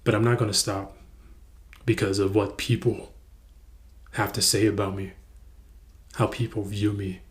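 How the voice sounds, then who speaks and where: Earnest young man, halting and repeating. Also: lowish voice, level tone. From United States. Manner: earnest, stumbling over words with repeats. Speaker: vlogger. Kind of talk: reflective personal monologue.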